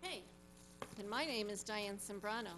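A woman's voice: mid-range voice, expressive, moderate pace, noisy background. Indignant woman at public comment. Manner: confrontational, indignant. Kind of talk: public comment at a council meeting. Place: council chamber audience seating. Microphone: standing microphone at her seat.

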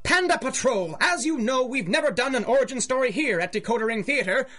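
High, bright, grandiose male radio announcer. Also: expressive, a little fast. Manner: grandiose, declamatory. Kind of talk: theatrical old-time radio announcing, scripted. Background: music.

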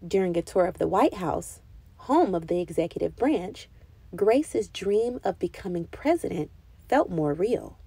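A woman narrates a children's picture book expressively. Her pitch moves around in a lively way, and the recording is dry and free of room sound. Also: a little slow, slightly rough, no room sound. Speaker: children's book read-aloud reader.

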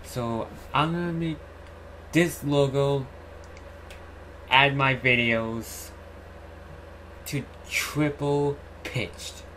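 A young man hosts a casual how-to screen recording. He talks slowly and haltingly, often repeating himself, at an average male pitch. The home recording has clear noise and typing behind it. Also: fairly even intonation, sharp tone. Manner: halting, repetitive, says "alright" often. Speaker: YouTuber host. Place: indoor room at home.

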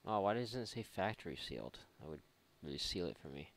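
A man's voice: sits low, lively intonation, fairly quick. A man doing casual, irreverent let's play commentary, mocking and thinking aloud. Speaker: gaming YouTuber.

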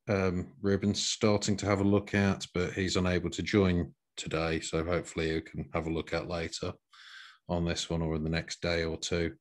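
A man chairing a work meeting on a video call, speaking with hesitant pauses. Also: sits low. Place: small home room, video call.

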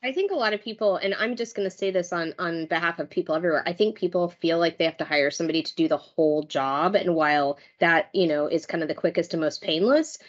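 A middle-aged woman with a somewhat low voice gives candid advice in a casual, chatty way. She is an expert panelist on a video call, and the audio is a little lo-fi. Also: fast talker, hardly any pauses. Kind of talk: casual chat, informal advice.

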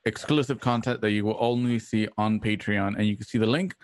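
A man's voice: fairly low pitch, brisk, no echo. A man hosting an online livestream from home, speaking casually into a close microphone. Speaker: host. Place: home room on video call. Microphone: close microphone with headset.

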